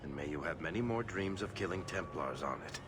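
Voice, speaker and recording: Deep-voiced, sardonic companion. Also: male voice, expressive, brisk, over loud music. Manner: dry, sardonic. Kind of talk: dramatic game dialogue.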